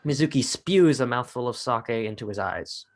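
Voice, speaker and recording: A man with a medium-pitched voice narrating stage directions in a dramatic script reading. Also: animated, moderate pace.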